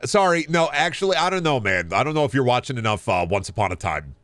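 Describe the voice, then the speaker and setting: A man chatting casually as a video game streamer, rambling in a halting way and often repeating phrases. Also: medium pitch, very animated, fairly bright tone, dry recording. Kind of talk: casual rambling livestream chat.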